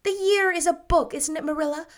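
A woman reading aloud and voicing an effusive, dreamy young woman in a lilting, gushing, high voice. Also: a little fast. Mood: bright.

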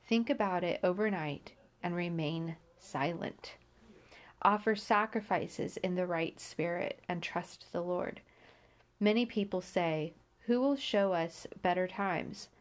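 A woman with a stuffy nose from allergies, hosting a Bible podcast, reading scripture aloud and then reflecting on it earnestly, with frequent restarts. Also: on the low side.

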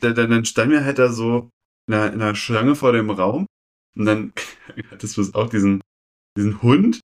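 A man in his twenties chatting casually as a podcast co-host, telling stories in a halting, rambling way. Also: on the low side. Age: 20s. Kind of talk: casual chat.

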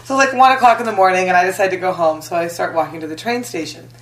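A woman's voice: on the low side, fast. A young woman comedian from Las Vegas, chatting casually on a podcast and laughing through her stories. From Las Vegas, USA. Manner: laughs often, animated storyteller. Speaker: comedian guest. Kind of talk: casual podcast chat, storytelling. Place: hotel room. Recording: echoey room.

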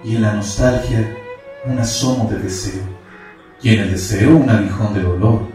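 A man's voice: on the low side, moderate pace, mellow, lightly acted. A man acting as God's angelic messenger in a radio play, solemn and pleading, with declamatory, preaching speech. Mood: grave, persuasive. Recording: very echoey, distant, music underneath.

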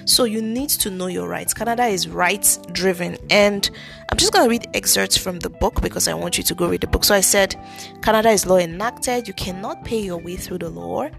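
A woman's voice: mid-range voice, fairly quick, vocal fry, soft background music. A woman with a thick Nigerian accent, hosting her own podcast in a chatty, earnest way, with frequent ums and restarts. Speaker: podcast host, immigration consultant. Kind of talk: casual solo podcast talk.

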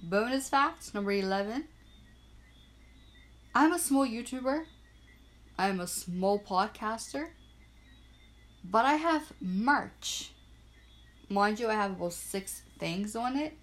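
A young woman from Bermuda hosting her own small podcast, chatting in an upbeat, self-deprecating way and spelling things out slowly. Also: medium pitch, lively intonation, a bit bright. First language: Portuguese and English bilingual. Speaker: podcast host. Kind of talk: casual solo podcast chat. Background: frogs and crickets at night.

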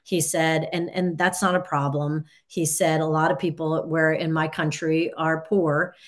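A woman's voice: low-pitched, level tone, a little slow. A woman from the United States, an interview guest, telling personal stories expressively and acting out quoted dialogue. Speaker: interview guest, university teacher. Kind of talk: personal storytelling in conversation.

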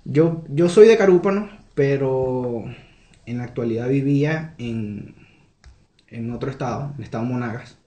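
A man's voice: medium pitch, unhurried, mellow. Earnest young Venezuelan man, echoey room. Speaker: interviewee. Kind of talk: conversational interview.

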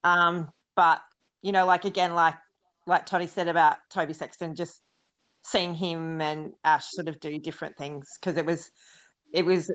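A woman's voice: low-pitched, flat delivery, moderate pace, fairly bright tone. A woman co-hosting a podcast, rambling through her thoughts with lots of "you know" and "like". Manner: rambling, many fillers. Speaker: podcast co-host. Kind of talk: casual podcast chat. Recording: dull lo-fi sound.

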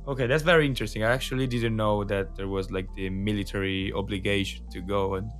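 A man's voice: on the low side, fairly even intonation, fast, soft background music. A man hosting a podcast who asks his guest questions in a friendly, curious way. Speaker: podcast host. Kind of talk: casual interview hosting.

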